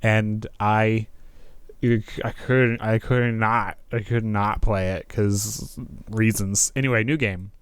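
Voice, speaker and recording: A man's voice: lowish voice, steady tone, slow, smooth, no room sound. A man hosting a gaming video, reading narration aloud and commenting in a casual, jokey, informal way.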